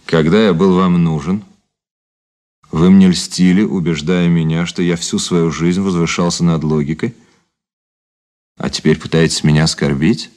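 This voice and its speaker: This is a deep-voiced man in a Soviet radio play, a cynical ex-detective. He speaks in measured, theatrical phrases with grand irony and mockery. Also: fairly even intonation, darkish tone. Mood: mocking, ironic. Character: cynical, ironic former detective officer and turncoat, grandly mocking. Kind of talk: Soviet radio drama acting.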